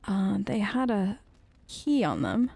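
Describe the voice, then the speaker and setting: A woman's voice: medium pitch, sing-song, slow pace, gravelly, warm tone, breathy edge, dry recording. A woman doing playful, chatty role-play commentary over a game, talking to the characters as she plays. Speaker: gamer playing in character.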